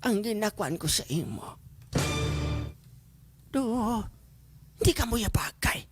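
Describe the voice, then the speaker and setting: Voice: mid-range voice, very animated, slow. An actor playing a disapproving middle-aged mother who nags and teases a son in an improvised live drama. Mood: reluctant. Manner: nagging, plain-spoken, repeatedly addressing her child. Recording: loud music bed. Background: loud music.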